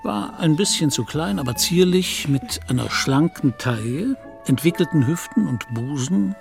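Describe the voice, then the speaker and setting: A man reading literary narration for an audio drama in a measured, articulate way. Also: fairly high pitch, animated, vocal fry, faint music behind.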